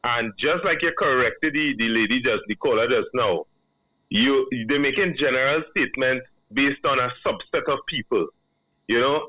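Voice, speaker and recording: A man with a Trinidadian accent, calling in to a radio show and giving a measured, earnest opinion. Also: mid-range voice, bright, forceful tone, no echo. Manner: measured, earnest, reasoning aloud. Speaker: caller. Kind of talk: call-in radio commentary. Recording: dull, dry phone line.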